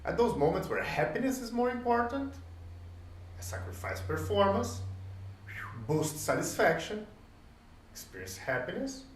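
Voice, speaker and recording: A man whose first language is Portuguese, musing aloud as host in a reflective solo talk, pausing often and posing questions to the listener. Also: sits high, big pitch swings, a little hiss or hum. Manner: thoughtful, pauses often, rhetorical questions. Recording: roomy, distant from the mic, faint ambience.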